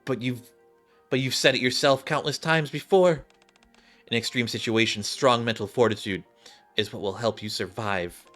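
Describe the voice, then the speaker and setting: A stern but reassuring woman squad leader from a game, voiced by a man. The delivery is measured and formal, with calm command. Music plays behind. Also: mid-range voice, natural voice.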